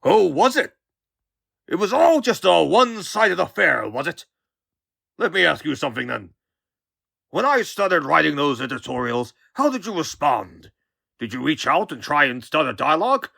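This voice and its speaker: A man's voice: fairly high pitch, sing-song, normal speed, vocal fry, sharp tone, no echo, clean studio sound, full character voice. A man voicing a pompous older newspaperman hosting a podcast in a comic book dub, bombastic and theatrical. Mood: accusing. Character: pompous, blustering older male newspaperman turned podcast host.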